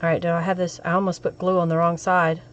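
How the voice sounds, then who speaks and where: A woman with a crackly, hoarse voice from a cold, hosting a crafting live stream and chatting with viewers as she works. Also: fairly low pitch, little pitch movement, quick pace, muffled recording.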